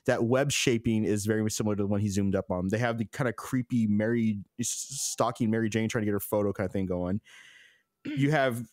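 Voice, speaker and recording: A man co-hosting a podcast, making deadpan jokes and listing things off one by one. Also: lowish voice, brisk, no room sound, clean studio sound. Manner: deadpan joking, itemizing. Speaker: podcast co-host. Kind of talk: casual chat.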